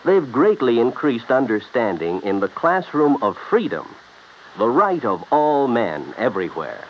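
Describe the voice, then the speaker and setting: A man's voice: medium pitch, sing-song, measured pace. A man reading a scripted public-service announcement in 1950s radio announcer style. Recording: muffled, hissy old radio broadcast.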